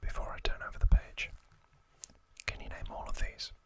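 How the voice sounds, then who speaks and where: An ASMR host whispering gently and deliberately, drawing words out as they present to the listener.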